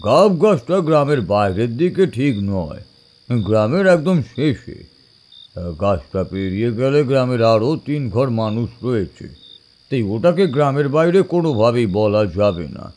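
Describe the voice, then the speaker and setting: Man acting an animated older village uncle storyteller. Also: medium pitch, measured pace. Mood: explaining. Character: older village uncle telling eerie tales, unhurried, opinionated. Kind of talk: Bengali audio drama storytelling.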